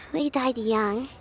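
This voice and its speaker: A woman's voice: fairly high pitch, a little hiss or hum. A woman voicing a polite, caring young granddaughter in a video game, friendly and attentive.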